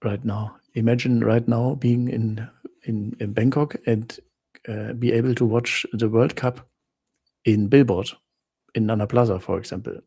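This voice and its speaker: A German man with a German accent rambles through a casual live chat. He talks slowly and haltingly, with many restarts. His voice is gravelly and a little husky. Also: mid-range voice, fairly even intonation, mellow. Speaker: livestream host answering viewer questions.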